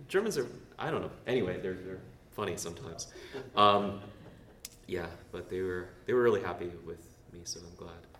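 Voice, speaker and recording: A man's voice: sits low, a bit bright. A young man from Canada giving a casual, self-deprecating church talk with slides, full of fillers and asides. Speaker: presenter. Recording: roomy, far from the mic, faint noise.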